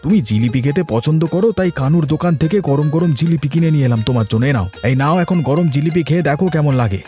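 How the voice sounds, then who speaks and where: A man's voice: a little high, lively intonation, racing, warm tone, faint music behind, natural voice. A man voicing a hot-tempered but affectionate husband in a cartoon, brusque and nagging yet caring. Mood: gruffly caring. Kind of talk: animated cartoon story dialogue.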